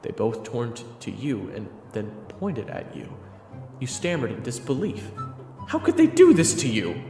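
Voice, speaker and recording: A man giving theatrical, scripted second-person narration for an audio drama, often hushed. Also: medium pitch, huge pitch swings, unhurried. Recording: roomy sound under loud music. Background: music.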